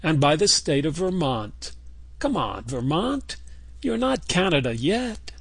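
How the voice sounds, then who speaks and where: A quirky sponsor announcer with a high, swooping, gravelly voice. He stretches the words out slowly, and the recording is dry and close. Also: very animated, dry recording, full character voice. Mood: wry.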